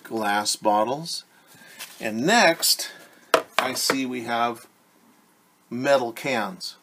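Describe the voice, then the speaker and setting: A man's voice: mid-range voice, drawn-out words, heavy background noise. A man in his forties reading a storybook to young children as their teacher, talking directly to them and repeating key words. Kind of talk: story time read-aloud for young children. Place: living room at home, indoors.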